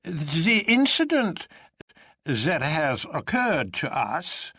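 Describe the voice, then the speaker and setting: A man voicing an elderly professor in a story, laboured and elaborately calm, dragging out his words. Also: fairly high pitch, very slow, breathy edge, no echo, studio quality, pitched above the performer's own voice, full character voice. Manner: drags words out ponderously.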